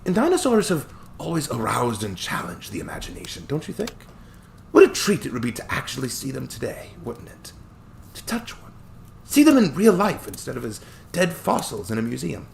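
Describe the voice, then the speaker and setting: A man reading a story aloud and voicing a cheerful, chatty old Scottish male lawyer, raised higher than his own voice, with a lilting Scottish accent. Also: wildly swooping pitch, normal speed, gravelly, slight background noise. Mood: musing.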